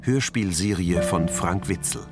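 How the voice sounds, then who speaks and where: A man's voice: sits low, measured pace. A man reading scripted credits as the announcer of a radio drama series. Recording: loud music over the voice. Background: loud guitar and harmonica music.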